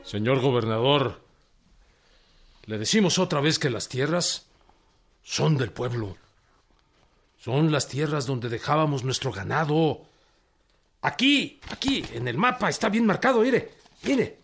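An actor playing a young Mexican peasant leader in a radio drama, respectful but insistent, with a husky voice. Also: male voice, medium pitch, expressive, a little slow, pauses often, slight background noise. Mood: earnest, insistent.

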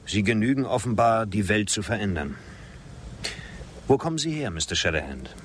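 An actor playing a wistful former poet and cabaret singer in a German radio drama, measured, reflective and gently ironic. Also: male voice, fairly low pitch, lively intonation, a little hiss or hum, close to an everyday voice. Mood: wry.

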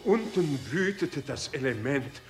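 A man with a fairly high voice narrates a literary tale with intense, declamatory delivery. Music plays prominently behind him, in the style of fifties radio drama. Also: lively intonation, unhurried.

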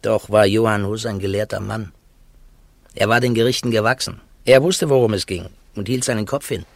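An actor playing a scholarly court official with a gravelly, husky voice, measured and dry. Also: male voice, on the low side, dry recording, natural voice. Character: scholarly court official gossiping about the trial. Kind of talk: radio play acting.

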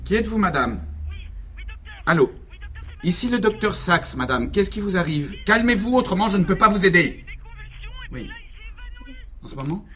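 An actor playing a male doctor handling an urgent phone call calmly and reassuringly in a radio drama. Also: sits high, normal speed, pauses often. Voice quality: calm, reassuring.

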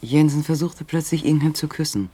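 An actor narrating a literary German radio play as a sober, measured third-person storyteller. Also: male voice, fairly high pitch, mellow, slightly rough.

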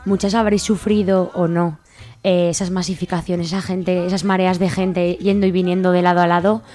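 A woman's voice: lowish voice, little pitch movement, fairly quick, mellow, faint music behind. A woman with a Spanish accent from Spain, presenting a radio programme as its host. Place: radio studio.

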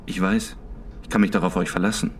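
An actor playing a smug, corrupt policeman in a radio drama, with a sardonic, unhurried and menacing way of talking. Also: low voice, steady tone, slight background noise, lightly acted. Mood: resolute. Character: smug corrupt policeman leading a secret group, coolly threatening.

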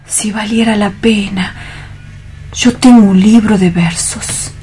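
A woman playing a timid, dreamy male clerk in a radio theatre reading, hesitant and anxious, with a husky, breathy voice and an accent from Spain. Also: mid-range voice, fairly even intonation, takes it slowly, mellow. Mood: meek. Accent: Spanish (Spain). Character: timid, dreamy male bank clerk afraid of real love.

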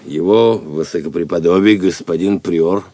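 A man's voice: low-pitched, normal speed, mellow, no room sound. Obsequious, lamenting merchant father, dry sound. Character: ruined, pleading merchant father grieving his daughter. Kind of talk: Russian audio drama acting.

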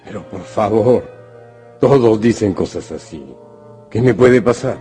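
Radio drama patriarch played by a man: older judge, low and mellow, unbothered, dismissive. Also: a little slow, faint music behind, lightly acted. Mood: calm, dismissive.

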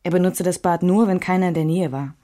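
A woman's voice: lowish voice, steady tone, quick pace, warm tone, close to an everyday voice. An actress playing a reflective, restrained woman recalling her married life in a scripted radio drama.

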